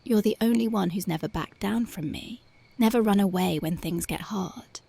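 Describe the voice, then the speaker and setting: A woman voicing a tough but devoted young punk girlfriend in an ASMR roleplay, talking softly and intimately close to the mic, often dropping to a whisper. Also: mid-range voice, normal speed, vocal fry. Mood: tender, dreamy. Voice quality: soft, intimate, partly whispered. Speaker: voice actress.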